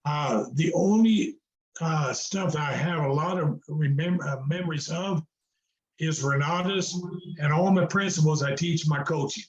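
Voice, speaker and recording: Older man, folksy, on an echoey call. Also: fairly high pitch. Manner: slow, folksy, with many uh fillers. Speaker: guest speaker and real estate coach. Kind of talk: casual video call chat. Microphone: video call. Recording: echoey dull video call audio.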